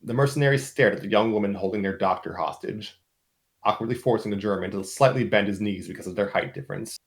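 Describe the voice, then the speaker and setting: Low-voiced man reading a story aloud. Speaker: narrator and voice performer on a story-reading show. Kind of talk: dramatic reading of fan fiction with slips and asides.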